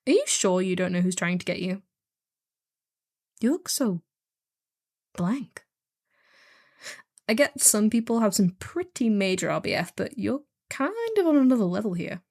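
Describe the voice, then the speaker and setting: A woman's voice: mid-range voice, lively intonation, moderate pace, pauses often, darkish tone. A snarky female kidnapper for hire, acted by a woman in an audio roleplay drama. She sounds bored and heartless, drawling casually at a captive. Her mid-range voice rises and falls freely with sardonic emphasis. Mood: wry, nonchalant. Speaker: voice actor. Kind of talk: scripted one-sided audio roleplay drama.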